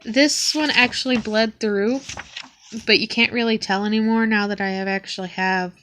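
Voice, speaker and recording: A woman's voice: medium pitch, steady tone, normal speed, a bit bright. Sniffly young woman narrating her sketchbook. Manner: hesitant, with many ums and restarts. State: sniffly from a cold. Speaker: vlogger showing her sketchbook. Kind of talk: casual sketchbook walkthrough.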